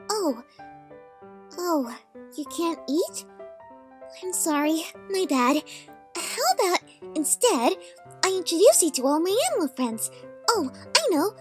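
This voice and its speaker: Cartoon female pony, very high pitch, lively melody, gentle, coddling, shy sweetness. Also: exaggerated voice. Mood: apologetic. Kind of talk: cartoon comic dub.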